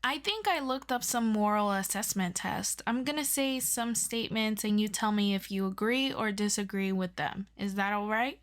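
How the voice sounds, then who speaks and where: A woman playing an earnest, excitable superhero best friend in an ASMR audio roleplay, chatty and expressive as she tutors a listener. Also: medium pitch, normal speed, few pauses, lightly acted. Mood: businesslike, friendly. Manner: chatty and expressive, with interjections and sighs. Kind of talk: ASMR audio roleplay, scripted one-sided conversation.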